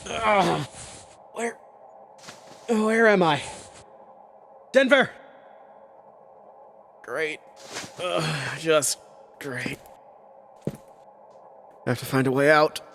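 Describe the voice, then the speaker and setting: A man's voice: on the high side, very slow, creaky, long pauses, a bit bright, slight background noise, heavily acted. An actor playing a lost, jumpy man in a horror audio drama, muttering to himself in exasperation. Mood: groggy, exasperated. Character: lost, jumpy, grumbling man stranded in the dark.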